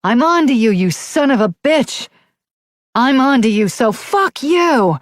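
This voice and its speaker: Woman reader as enraged young cop, high shouted voice, raw. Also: expressive, measured pace, no room sound, clean studio sound. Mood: furious. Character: young woman cop yelling in terror and rage.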